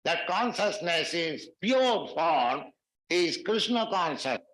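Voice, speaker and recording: A man giving a spiritual lecture in measured, halting phrases and chanting Sanskrit verses. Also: a little high, sing-song, a bit bright, roomy sound, rough recording. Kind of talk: recorded philosophy lecture.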